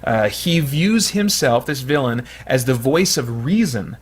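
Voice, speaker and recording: A man's voice: mid-range voice, animated, a little slow, husky. A man hosting a video essay, explaining in an informal, analytical way with frequent hedging.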